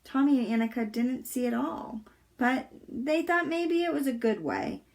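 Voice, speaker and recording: A woman reading a children's chapter book aloud with deliberate, expressive storytelling. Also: sits high, vocal fry. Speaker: volunteer storybook reader.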